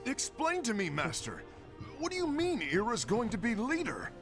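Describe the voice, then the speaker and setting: A man's voice: very high voice, huge pitch swings, normal speed, music in the background, exaggerated voice. A man voicing an earnest young apprentice in a video game cutscene, dramatic and intense in his delivery. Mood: anxious, questioning. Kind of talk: animated game cutscene acting.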